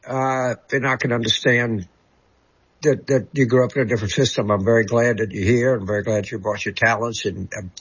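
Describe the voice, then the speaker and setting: A male political commentator answers callers with a husky voice. He halts often and repeats words as he searches for what to say. The sound is a little dull and muffled. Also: medium pitch, steady tone, brisk, a bit bright. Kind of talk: call-in talk show guest.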